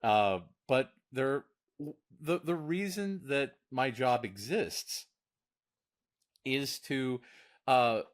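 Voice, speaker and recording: A man's voice: expressive, very slow, pauses often. A man, co-hosting a casual podcast as a diagnostician, often restarting his words and laughing easily. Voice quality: deep voice.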